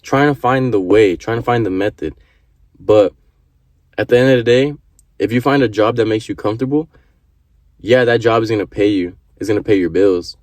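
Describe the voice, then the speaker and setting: A man's voice: fairly low pitch, normal speed, darkish tone, no room sound. A man in his early twenties giving an earnest motivational talk to camera, repeating his points and swearing casually. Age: 20s. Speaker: vlogger.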